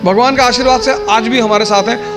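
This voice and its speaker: A man's voice: very high-pitched, fairly even intonation, a little fast. A man telling a personal anecdote informally, quoting bits of dialogue as he goes. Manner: informal, quotes dialogue as he recounts. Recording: music behind the voice. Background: music.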